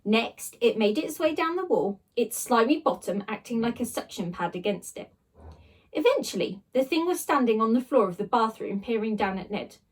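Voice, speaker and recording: A woman with a fairly high voice narrates a children's story. Her delivery is dramatic and swooping, leaning hard on sound words, and she is heard a little way from the microphone. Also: very animated, normal speed, far from the mic, poor audio. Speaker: teacher reading aloud.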